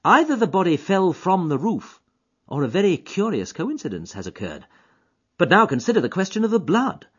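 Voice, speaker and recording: A man reading an audiobook voices an eager, high-strung detective who reasons aloud quickly and emphatically. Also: very animated, breathy edge, heavily acted. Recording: dull lo-fi audiobook recording.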